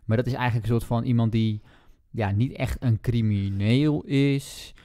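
A man chatting casually about books as a podcast host, speaking haltingly and hedging as he thinks aloud. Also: mid-range voice, steady tone, warm tone, no room sound, clean studio sound. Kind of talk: casual book discussion.

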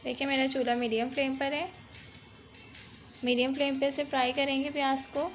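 A woman's voice: sits high, little pitch movement, moderate pace, faint music behind. A woman hosting a cooking channel, giving a step-by-step recipe voice-over and guiding viewers through each stage. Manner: instructive, repeats "dekhiye" to guide viewers.

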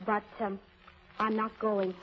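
A woman's voice: medium pitch, level tone, takes it slowly, a little hiss or hum, natural voice. An actress playing a poor, plucky young woman pleading for work in an eager, theatrical 1930s radio style. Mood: earnest, plaintive. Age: young adult. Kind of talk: 1930s radio drama acting. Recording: tinny, band-limited old radio recording.

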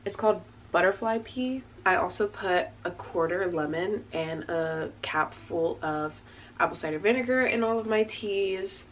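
A young woman in her twenties chatting casually as she tries on outfits in her bedroom, a little raspy from congestion, rambling with lots of fillers. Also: mid-range voice. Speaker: fashion vlogger. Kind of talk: casual try-on chat. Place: small bedroom with backdrop, indoors. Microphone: camera mic from a few meters away. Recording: slightly distant camera mic, faint room noise.

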